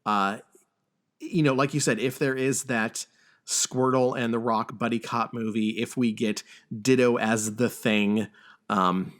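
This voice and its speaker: A man hosting a casual film discussion podcast, who speaks haltingly and often repeats words as he goes. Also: mid-range voice, fairly even intonation. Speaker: podcast host.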